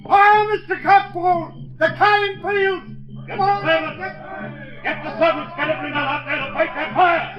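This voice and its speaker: A man's voice: very high voice, steady tone, moderate pace, long pauses, dull audio, over music. A man playing an agitated, loyal henchman in an old radio drama, barking his words urgently. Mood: alarmed yelling. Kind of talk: 1950s radio drama acting.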